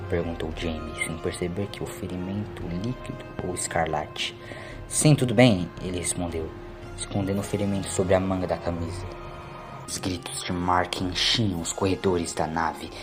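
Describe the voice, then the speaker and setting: A man with a Brazilian accent reading a scripted dramatic story as narrator. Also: low-pitched. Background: faint music.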